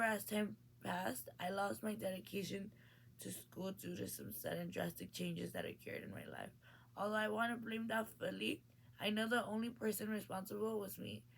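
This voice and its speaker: Earnest teenage girl with a gravelly edge. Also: mid-range voice, a little hiss or hum, low-quality recording. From Mexico. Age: late teens. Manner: earnest, reads with small restarts. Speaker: graduating high school student. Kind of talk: reading a prepared personal speech.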